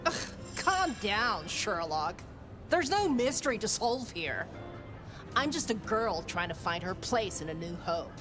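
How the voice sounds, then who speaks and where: A cocky young vampire rebel, teases with brash, slangy sarcasm. Her game-character voice bounces widely in pitch but takes its time, with music clearly behind it. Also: big pitch swings, measured pace. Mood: sarcastic defensive. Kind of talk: video game acting.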